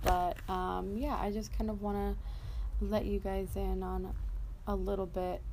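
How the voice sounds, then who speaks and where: A young adult woman hosting a personal motivational podcast, speaking casually and candidly in a halting way with an occasional stutter. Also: mid-range voice, flat delivery. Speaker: podcast host. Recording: noticeable background noise.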